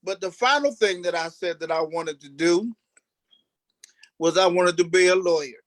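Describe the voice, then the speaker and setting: A man's voice: high voice, moderate pace, no room sound. A man who acts on stage, casually telling stories about himself as an interview guest. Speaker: stage actor being interviewed. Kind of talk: casual storytelling.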